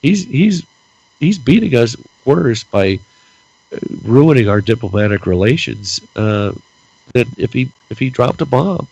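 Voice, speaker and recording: A man's voice: mid-range voice, expressive, a little slow, gravelly, darkish tone, slightly rough, dull audio. A man co-hosting a casual political podcast, ranting with lots of repeated words while losing his voice and stuffy-nosed. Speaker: podcast co-host.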